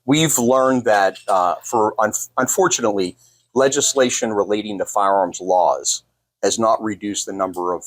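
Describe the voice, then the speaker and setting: An American man answering as a store owner in an interview, explaining things in measured, formal phrasing. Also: on the low side, rough recording.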